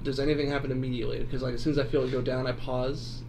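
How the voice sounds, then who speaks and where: Male tabletop player, mid-pitched casual talk, heavy background noise. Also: little pitch movement, rapid-fire, creaky. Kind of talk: casual game chat. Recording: very noisy room recording.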